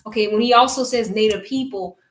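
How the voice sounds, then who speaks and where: A woman's voice: mid-range voice, fairly quick. A woman reading a book aloud in a casual talk and picking apart its wording. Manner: reads passages aloud then picks them apart. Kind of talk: casual critical commentary.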